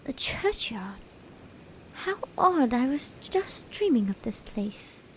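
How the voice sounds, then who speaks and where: A woman's voice: high-pitched, sing-song, slow pace, in a whisper, full character voice, rough recording. A woman playing a breezy, strong-willed young woman. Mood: confused. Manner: breezy, commanding, dismissive. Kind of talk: audio drama acting.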